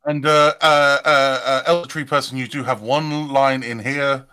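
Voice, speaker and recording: A man's voice: medium pitch, steady tone, normal speed, sharp tone. A man hosting a casual live stream, stammering and repeating words as he talks. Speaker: host. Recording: clearly noisy background with clicks.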